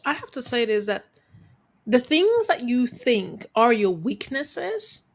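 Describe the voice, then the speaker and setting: A woman with a fairly high voice speaks as a guest on an interview show. She tells her own story earnestly and turns it into advice, repeating phrases for emphasis. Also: sing-song, unhurried. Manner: calls herself quiet-voiced. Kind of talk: reflective motivational storytelling.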